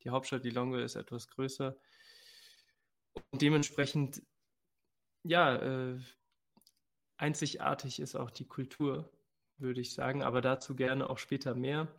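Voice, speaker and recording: A man's voice: medium pitch, level tone, a little slow, vocal fry, long pauses. A man talking conversationally as a guest on a podcast. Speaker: podcast guest, agroecology worker.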